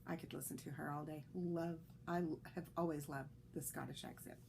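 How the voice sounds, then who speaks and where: A woman's voice: low voice, steady tone, brisk, gravelly, a little mellow, a little hiss or hum. A woman hosting a knitting podcast, chatting in a rambling way with fillers and restarts, a little congested. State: slightly congested, coughing. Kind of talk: casual solo podcast chat.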